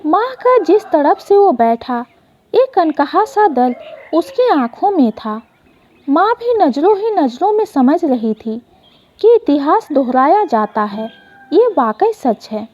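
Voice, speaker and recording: A woman's voice: high voice, big pitch swings, slow pace, darkish tone, highs cut off. A woman storyteller narrating an emotional moral story dramatically.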